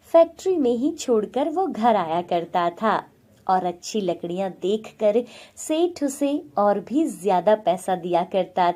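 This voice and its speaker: A woman's voice: mid-range voice, dark tone. A woman narrating a scripted moral bedtime story as a storyteller.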